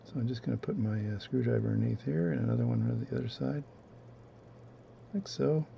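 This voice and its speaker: A man with a low voice talking through his watch repair at a home workbench, relaxed and rambling as he narrates each step. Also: brisk, vocal fry, dull audio, a little hiss or hum. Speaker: watchmaking hobbyist demonstrating. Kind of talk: casual bench demonstration, talking while working.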